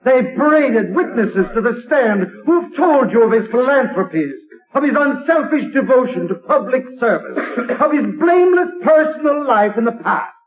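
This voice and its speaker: A prosecutor orating to a jury in a very high, ringing voice. His speech is declamatory and formal. The sound is a tinny, narrow old radio broadcast. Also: expressive, normal speed, few pauses. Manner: declamatory courtroom oratory. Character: forceful male prosecutor in court. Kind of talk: 1930s radio drama acting.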